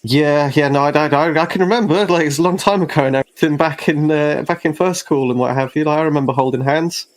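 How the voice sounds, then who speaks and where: A man's voice: a little high, fast talker, hardly any pauses, voice pushed up, lightly acted. Man as an awkward, stammering teenage boy. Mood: flustered. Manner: stammering, evasive. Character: awkward, flustered teenage schoolboy.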